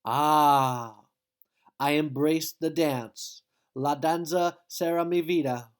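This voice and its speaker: Man acting out a passionate young dancer, unhurried. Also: a little high, clear, resonant tone. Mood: exalted. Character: passionate, determined young male Mexican dancer and artist.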